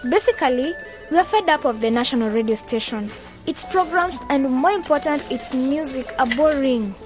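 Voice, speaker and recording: A sharp young woman in a radio drama. Her voice sits high and she speaks assertively and articulately, with music faintly behind. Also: lively intonation, normal speed. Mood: earnest. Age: young adult.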